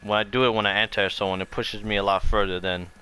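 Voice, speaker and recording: A man with a fairly low voice gives an unscripted fighting game tutorial. He explains in a casual, loose way and often repeats himself as he goes. Music plays clearly behind his voice. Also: flat delivery, quick pace, rough recording. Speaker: gaming video creator giving a tutorial.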